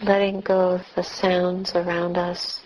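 A woman leading a guided meditation as a teacher, speaking gently and in measured short phrases with long pauses. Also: on the low side, monotone, slight background noise, rough recording. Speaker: meditation teacher, Buddhist nun.

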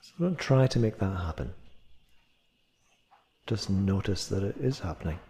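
A man leading a guided meditation as a teacher, speaking gently and unhurriedly, with long pauses between phrases. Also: deep voice, lively intonation.

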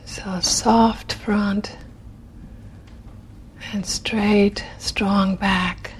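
A woman leading a guided meditation in a gentle, unhurried manner, leaving long pauses between phrases. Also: medium pitch, level tone, a little hiss or hum. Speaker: meditation teacher.

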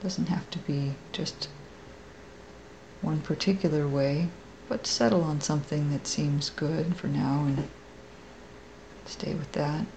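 A woman meditation teacher leading a guided meditation in a gentle, soft, creaky, unhurried voice with long pauses. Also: deep voice, steady tone, a little hiss or hum, rough recording.

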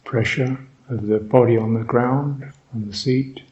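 Male meditation guide, mellow relaxed tone, very slow halting delivery, reflective, slightly dull lo-fi sound. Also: medium pitch. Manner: halting, contemplative, long pauses between short phrases. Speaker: Buddhist meditation teacher.

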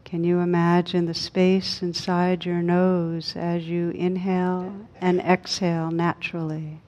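A woman leading a guided meditation as a teacher, speaking calmly and unhurriedly with long pauses. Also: lowish voice. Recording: dull, lo-fi recording.

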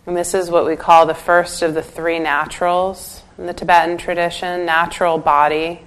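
A woman leading a guided meditation as a teacher, giving calm, gentle instructions with pauses. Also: on the low side.

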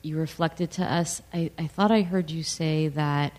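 An audience member at a meditation talk asking a question, with a loud voice, hesitant and circling back. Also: mid-range voice, steady tone, a little slow.

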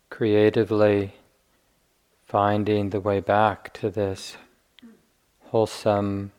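A man guiding a meditation as a teacher, speaking gently and deliberately with long pauses. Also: on the low side. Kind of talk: guided meditation instruction.

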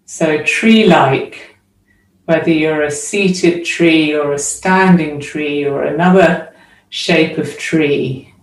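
A woman teaching a guided meditation, giving spoken instructions in short, measured phrases with long pauses between them. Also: sits low. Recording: roomy echo, distant microphone.

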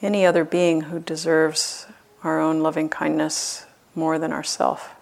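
Female meditation teacher, lower register with noticeable vocal fry, gentle and unhurried, leaving long pauses. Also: flat delivery. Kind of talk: guided loving-kindness meditation.

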